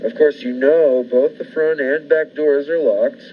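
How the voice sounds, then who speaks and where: A man's voice: on the high side, fairly even intonation, unhurried, slight background noise, close to an everyday voice. A man playing a sinister, controlling brother-in-law in the style of a 1940s radio thriller. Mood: quietly menacing. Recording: muffled recording under loud music.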